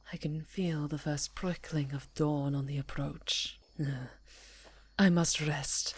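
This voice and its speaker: A woman's voice: low-pitched, a little mellow, exaggerated voice. A woman playing a haughty, seductive vampire countess who speaks in a poised, teasing, condescending purr in an ASMR fantasy roleplay.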